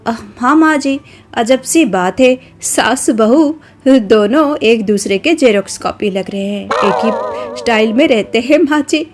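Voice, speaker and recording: Woman doing a soft, deferential young bride for a storybook cartoon, fairly high voice. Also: animated, a little slow. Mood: polite. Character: young, dutiful newlywed village bride.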